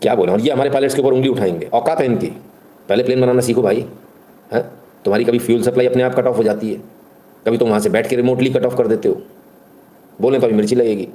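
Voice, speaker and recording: A male live-show host with a medium-pitched, dark, relaxed tone. He delivers opinionated news commentary in an emphatic, colloquial way, throwing rhetorical questions at his audience and slipping into English phrases. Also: fast, pauses often.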